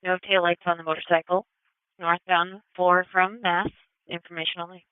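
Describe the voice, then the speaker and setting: A female emergency dispatcher comes through on a narrow, phone-like police radio channel. Her voice is smooth and clear, with a dry sound. She gives terse, procedural announcements methodically. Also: on the low side, flat delivery, moderate pace, no room sound. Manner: clipped, procedural, reads addresses and details methodically. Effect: police radio transmission. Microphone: radio dispatch microphone.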